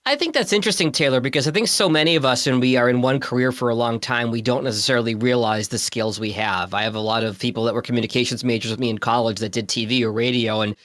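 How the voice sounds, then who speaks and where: A man's voice: mid-range voice, fast talker, hardly any pauses, fairly bright tone, dry recording. A man hosting a podcast, upbeat and welcoming, asking his guests open questions. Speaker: podcast host.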